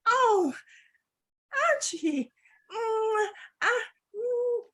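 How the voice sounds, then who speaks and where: A woman's voice: very high voice, expressive, slow pace, bright, forceful tone, pitched above the performer's own voice, heavily acted. A woman storyteller voicing a sly, greedy male cat in an animal tale, gloating in a sing-song way. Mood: evasive. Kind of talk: oral storytelling, animal folktale.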